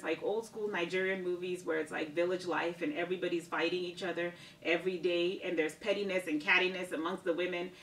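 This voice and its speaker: A woman's voice: fairly low pitch, slight background noise. A woman in her thirties giving a casual, emphatic book review from her living room couch, often checking in with "okay?". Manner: animated, emphatic, tags sentences with "okay?". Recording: roomy, distant mic.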